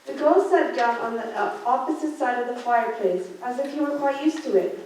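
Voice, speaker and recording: A woman's voice: fairly high pitch, fairly quick, a little mellow, lightly acted. A young woman student reading scripted story narration for a radio play. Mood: narrating. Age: teenager or young adult. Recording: echoey room, far mic, background noise.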